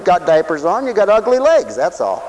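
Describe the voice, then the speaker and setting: A man preaching a sermon for radio, who swings from loud, stretched-out lines to quiet asides and acts out other people's voices. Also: sits high, wildly swooping pitch, moderate pace, a little hiss or hum, poor audio. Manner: dramatic swings from shouting to near whisper, acts out voices.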